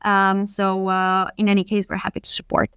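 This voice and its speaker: A woman's voice: medium pitch, completely flat delivery, moderate pace, a bit bright, clean tone, dry recording, studio quality. A woman leading customer success, answering webinar questions in a reassuring way with lots of ums and restarts, over a video call mic. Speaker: customer success team lead.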